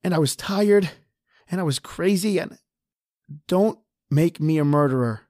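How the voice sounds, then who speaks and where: A man's voice: on the high side, unhurried, a little mellow, no echo, clean studio sound, lightly acted. A man playing a desperate, injured husband trapped underground in a horror radio drama. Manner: pleading, ragged, then defiant.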